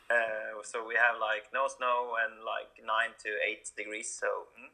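Very bright, sharp-voiced Norwegian man. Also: lowish voice, level tone, a little slow. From Norway. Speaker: podcast guest, professional chef. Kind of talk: casual interview.

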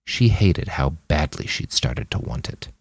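A man reads scripted fiction as narrator. His voice sits low and moves widely in pitch, and the recording is dry with no audible room. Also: sing-song, unhurried, vocal fry, no room sound. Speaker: audiobook narrator.

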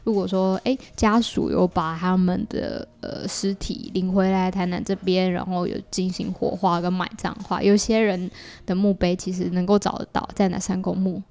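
A woman with a Taiwanese accent explains a creative project in casual interview chat, often softening her points with small asides. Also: fairly low pitch, little pitch movement, moderate pace. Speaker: podcast guest, audio drama creator. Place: small partitioned dessert cafe in an alley.

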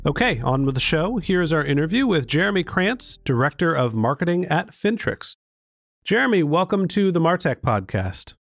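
A man's voice: fairly high pitch, sing-song, normal speed, fairly bright tone, no echo, soft background music. A man hosting a podcast interview, asking curious questions and openly admitting he is a newcomer to the field. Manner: curious, openly self-deprecating about finance. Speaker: podcast host. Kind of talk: conversational interview.

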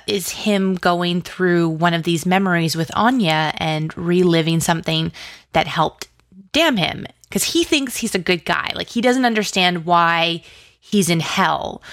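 A woman's voice: lowish voice, a bit bright, no echo, studio quality. A woman speaking as an interview guest in casual, informal conversation, with slangy phrasing full of "like". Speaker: interview guest, audio drama writer.

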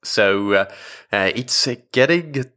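A man's voice: fairly low pitch, lively intonation, slow, pushed and bright. A young man hosting a podcast, congested from a recent illness, chatting casually with many fillers and restarts. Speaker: podcast host. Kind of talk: casual solo podcast talk.

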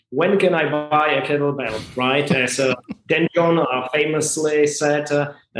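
A man from the Republic, a martial arts and strength instructor talking as a guest in a casual interview, halting and earnest with many fillers. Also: on the high side, fairly bright tone. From Czech Republic. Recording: roomy echo.

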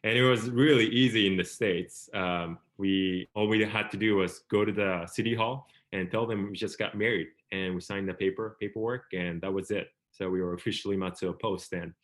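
A man's voice: lowish voice, fairly even intonation, normal speed, few pauses, a bit bright. A Japanese man in his thirties, a native Japanese speaker, telling his story as a guest with many fillers and restarts. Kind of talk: conversational storytelling.

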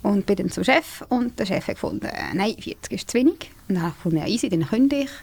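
A chatty woman in her thirties speaks Swiss German with a rounded Lucerne hinterland accent. Her voice sits at an ordinary middle pitch for a woman, and she talks loosely, with fillers and bursts of laughter. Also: lively intonation, quick pace, gravelly, a little mellow, husky. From Switzerland. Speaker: singer-songwriter guest. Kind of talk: casual conversation.

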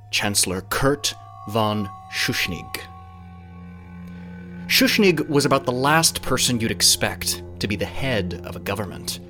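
Male history storyteller over music. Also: lowish voice. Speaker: history podcast narrator. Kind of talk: scripted dramatic storytelling narration.

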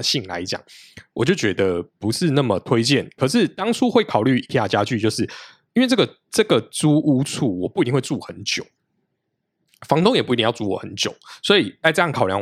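A man's voice: lowish voice, breathy edge, no echo. Brief: guest, man in his thirties, dry close recording, rambling explanations. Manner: explains at length with frequent restarts and fillers. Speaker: podcast guest. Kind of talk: casual conversational interview.

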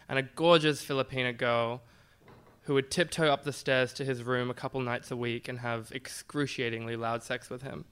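A man's voice: medium pitch, bright, forceful tone, clear, resonant tone. A man performing a scripted comic personal story, wry and self-deprecating.

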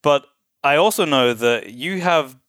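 A man's voice: fairly high pitch, expressive, measured pace, a bit bright, no room sound, studio quality. A man hosting a casual, conversational interview, asking questions and chatting about his own experiences. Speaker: interview host.

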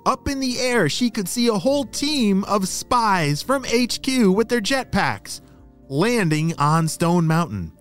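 A man hosting a children's story podcast, narrating in an animated, exclamatory way and speaking straight to young listeners. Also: high voice, a little slow, faint music behind.

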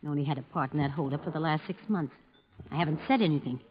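An actress playing a shrewd, calculating young wife in a 1950s radio drama, cool and deliberate as she bargains. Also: sits low, slight background noise, natural voice. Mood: guarded. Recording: muffled old radio recording.

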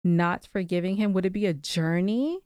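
A young adult woman co-hosting a podcast, talking candidly and reflectively in casual chat, quick to break into playful laughter. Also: on the low side, darkish tone, smooth, dry recording, clean studio sound. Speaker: podcast co-host.

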